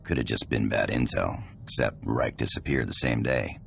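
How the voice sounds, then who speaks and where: An actor playing a gruff, guarded ex-soldier rogue in a video game, gravelly, clipped and curt. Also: male voice, extremely low pitch, moderate pace, a bit bright, slight background noise, heavily acted. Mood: brooding.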